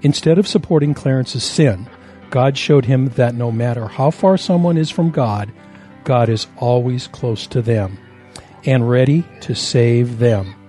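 A man delivers scripted narration for a children's story. His voice is mellow and of medium pitch, with soft music underneath. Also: unhurried.

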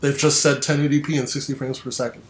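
A man's voice: mid-range voice, flat delivery, fast talker. Twenty-something guy hosting a gaming podcast, chatty, laughs easy, lots of ums. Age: 20s. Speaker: podcast host. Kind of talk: casual gaming podcast chat.

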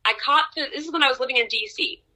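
A young adult woman, an opera singer, telling stories from her career in an interview with lively laughs and excited rises in pitch. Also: fast, low-quality recording. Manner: animated storyteller, laughs often, pitch jumps up in excitement. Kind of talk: conversational interview storytelling.

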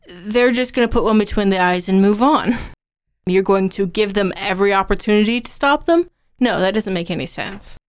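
A woman with a strikingly clean, resonant voice at a middling pitch. She co-hosts a podcast as a writing instructor, laying out her opinions in an explanatory, conversational way. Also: clean tone. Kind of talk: conversational craft discussion.

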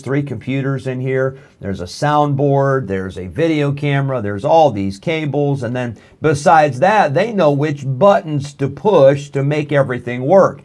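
A man's voice: medium pitch, a little mellow. A man, a pastor hosting his church's radio broadcast, making friendly, rambling announcements with many fillers. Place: church studio room.

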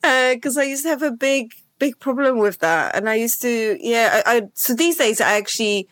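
A woman from Poland with Polish as her first language, hosting a podcast interview in an animated way, often repeating words as she goes. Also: fairly high pitch, normal speed, no room sound. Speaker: podcast host.

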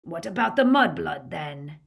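A woman's voice: fairly low pitch, lively intonation, unhurried, full character voice. Woman acting out a growling, mercenary male thug. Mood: menacing. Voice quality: growling, rough. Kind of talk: audiobook character acting.

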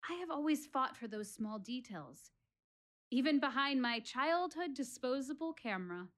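An actress playing a reflective, wistful woman who quizzes her audience about life in a deliberate, expressive theatrical monologue, speaking as if confiding in them. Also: sits high. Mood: reflective, earnest. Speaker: actress in a one-woman show.

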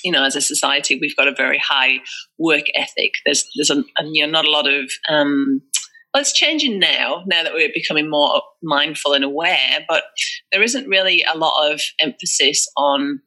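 Bright-voiced Northeast English woman. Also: sits low, normal speed, rough recording. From northeast England. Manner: chatty and candid, many fillers and restarts. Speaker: guest. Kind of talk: casual conversational interview.

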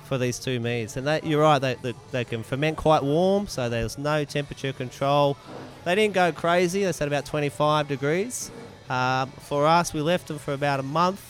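A man's voice: a little high, moderate pace, hardly any pauses. A man chatting casually as an interview guest, explaining his craft informally and repeating phrases for emphasis. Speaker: podcast guest, mead maker.

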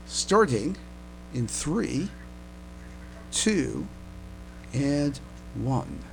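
A man's voice: medium pitch, very slow, vocal fry, low-quality recording. A man chatting casually as a podcast co-host, often stumbling and repeating his words as he goes. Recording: steady background noise and hum.

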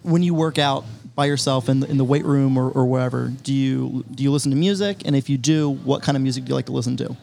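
A man's voice: medium pitch, quick pace, vocal fry, few pauses, darkish tone, a little hiss or hum. A man co-hosting a podcast, asking questions with joking asides and frequent restarts. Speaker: podcast co-host. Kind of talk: casual interview. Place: brewery.

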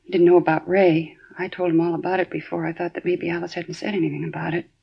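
A woman's voice: on the low side, little pitch movement, quick pace, gravelly, husky. An actress playing an anxious middle-aged housewife telling police what she knows, in earnest 1950s radio drama style. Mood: worried. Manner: earnest, worried retelling. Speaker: witness questioned by police. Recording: dull 1950s radio broadcast.

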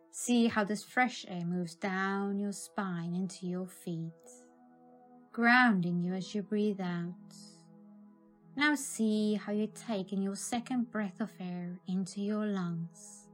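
A woman narrating a scripted guided meditation in a calm, soothing, measured manner. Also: mid-range voice.

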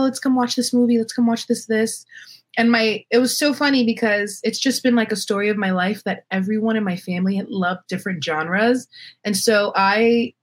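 A young adult woman from Florida with an American accent, talking casually and candidly as an interview guest. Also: medium pitch. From Florida, United States. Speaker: guest, filmmaker.

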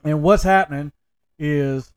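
A man with a fairly high-set voice talks as a podcast co-host. He chats casually about films, telling things in an informal, animated storytelling manner. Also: measured pace, clear, resonant tone.

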